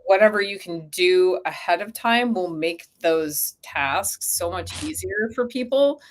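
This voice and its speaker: A woman's voice: lowish voice, unhurried. A woman talking casually as an interview guest, explaining things and acting out little imagined quotes as she goes, peppered with "like". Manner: animated quoting of imagined speakers, frequent "like". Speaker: interview guest, company co-founder.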